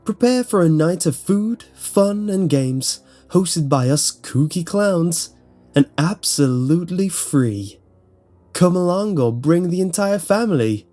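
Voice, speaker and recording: A zany carnival clown acted by a male narrator makes an exuberant pitch in a storybook horror tale. The voice is raised and showy, with faint music underneath. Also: lively intonation, slow pace, mellow. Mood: jolly, hyped. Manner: exuberant, sales-pitch showmanship. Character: zany carnival clown barker advertising a festival.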